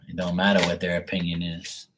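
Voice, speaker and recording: A man with a husky voice talking casually on a live tarot reading stream, blunt and opinionated, rambling and swearing freely. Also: low voice, completely flat delivery, brisk, noisy background.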